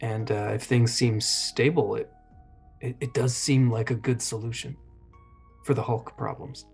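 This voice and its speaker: Man, gravelly creak, average pitch, casual roleplay, game player, music faintly behind. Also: steady tone, a little slow, darkish tone.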